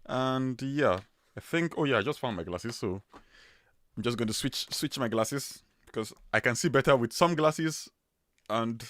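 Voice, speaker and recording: A man's voice: mid-range voice, a little slow, a bit bright, dry recording, clean studio sound. A man with a strong Nigerian accent hosting a casual livestream, chatty and loose with many fillers and restarts. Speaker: livestream host.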